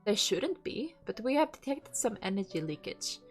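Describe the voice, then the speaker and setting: A woman's voice: medium pitch, sing-song, moderate pace, faint music behind. A woman with a stuffy nose streaming a game, reading its dialogue aloud and chatting casually with viewers, with the odd curse thrown in. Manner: reads lines aloud, swears and laughs between.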